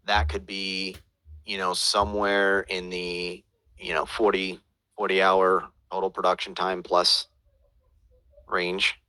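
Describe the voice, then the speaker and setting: Low-voiced man answering questions. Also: monotone, takes it slowly, bright, forceful tone, clean tone, no room sound. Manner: casual, hesitant with frequent fillers and restarts. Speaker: audiobook production instructor. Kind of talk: live Q&A lesson, reading viewer questions.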